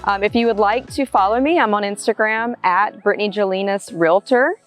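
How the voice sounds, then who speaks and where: Southern realtor woman, 30s, relaxed and chatty, medium voice, light music bed. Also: medium pitch, a little fast. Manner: casual, friendly, many "um"s. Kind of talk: answering interview questions to camera.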